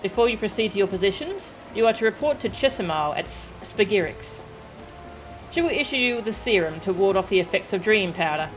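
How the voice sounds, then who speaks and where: A woman's voice: medium pitch, animated, faint music behind, natural voice, low-quality recording. Woman reading as a formal, commanding military captain. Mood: businesslike. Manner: measured, formal, authoritative wording. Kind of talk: video game dialogue read aloud.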